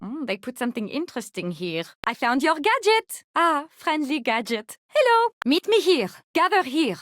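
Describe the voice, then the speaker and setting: A macabre French lady doctor from a video game, obsessed and giddy. Her voice sits high and leaps about in pitch with theatrical, genteel relish. The sound is dry, with no room around it. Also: big pitch swings, normal speed, a bit bright, no room sound. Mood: excited, playful. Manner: theatrical, gleefully morbid, genteel wording. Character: giddy, macabre woman mad-scientist fungus doctor. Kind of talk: video game hero voice lines.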